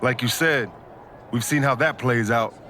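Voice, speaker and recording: A man's voice: mid-range voice, a little slow, bright, forceful tone, a little hiss or hum. A man playing a laid-back, street-smart, disillusioned pro wrestler in a video game cutscene, talking in slang. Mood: wistful, jaded.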